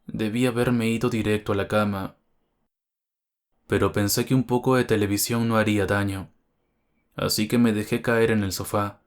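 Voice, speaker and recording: A man's voice: fairly low pitch, flat delivery, normal speed, long pauses. A man reading a scripted first-person horror story as its narrator.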